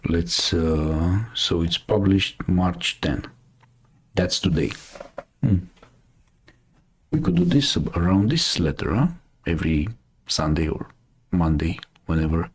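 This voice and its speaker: A Romanian man with a very deep, husky voice hosts a live news chat. He speaks English as a second language, thinking aloud and stopping and restarting with plenty of fillers. His tone stays casual and conversational. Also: takes it slowly, long pauses. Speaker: host.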